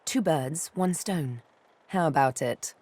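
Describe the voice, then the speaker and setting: A woman's voice: deep voice, slow, darkish tone, husky, full character voice. A woman voicing a sardonic, vengeful elf huntress for a video game, with arch, teasing, theatrical phrasing. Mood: sly, predatory. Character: sardonic, vengeful female elf, former slave turned huntress. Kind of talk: video game character dialogue. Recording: background music under the voice.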